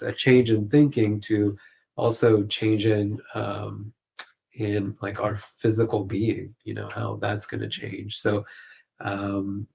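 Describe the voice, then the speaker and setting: Low-pitched man explaining conversationally. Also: creaky, a little mellow. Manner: halting, informal, peppered with "you know" and "like". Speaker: clinician guest.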